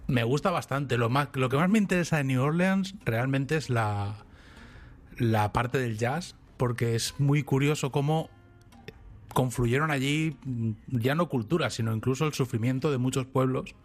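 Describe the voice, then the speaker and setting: A man's voice: medium pitch, normal speed. Chatty man, rambling storyteller. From Spain. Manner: rambling, animated storytelling with restarts. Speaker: podcast co-host. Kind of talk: casual chat.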